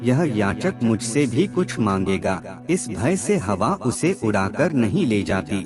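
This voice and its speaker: A man reading scripted moral aphorisms as a narrator, in a measured, formal recitation. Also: lowish voice, very animated. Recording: music bed under the voice. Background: background music.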